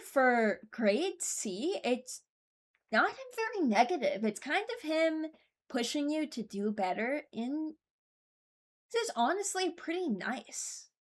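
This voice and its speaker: A woman hosting a ranking video, giving casual, opinionated commentary as she muses aloud. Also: high-pitched. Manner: informal, musing aloud. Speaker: video host ranking voicelines.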